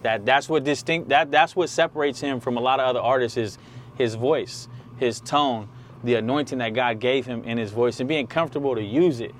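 A man's voice: medium pitch, brisk, slight background noise. A man interviewing a guest, chiming in often with encouraging affirmations and asking open questions. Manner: encouraging, frequent "come on" and "yes" affirmations. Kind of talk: casual conversational interview.

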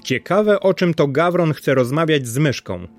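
A man's voice: on the high side, expressive, faint music behind, voice pushed up, close to an everyday voice. Man playing a proud, clever little female mouse. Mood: scornful. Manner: brisk, proud, forthright. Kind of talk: storybook voice acting.

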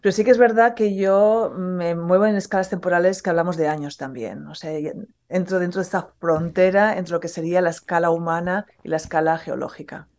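A woman from Catalonia, a geology professor, answering interview questions in a measured, modest, explanatory way. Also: lowish voice, fairly even intonation, darkish tone. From Catalonia, Spain.

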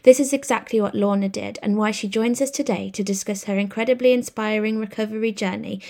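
Woman, podcast host, medium pitch, encouraging interviewer. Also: fairly even intonation, a little fast, warm tone. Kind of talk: scripted intro, then casual interview.

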